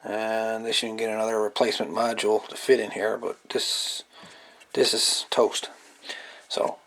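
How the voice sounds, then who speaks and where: A man's voice: on the low side, flat delivery, measured pace, a bit bright. A man explaining a hands-on repair casually and matter-of-factly, off the cuff, at an indoor workbench. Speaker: hobbyist radio repairer, presenter. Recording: noisy amateur camera recording.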